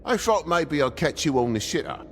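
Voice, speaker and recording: A man voicing a cheeky, crude, excitable talking toad in a video game. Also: on the high side, normal speed, slight background noise. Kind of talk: animated video game acting.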